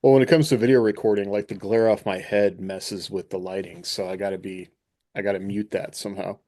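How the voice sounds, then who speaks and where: A man with a fairly low voice hosts a casual podcast discussion. He explains things in a conversational, chatty way. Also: fairly even intonation, a little fast, dark tone. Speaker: podcast host. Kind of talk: casual discussion of fiction writing.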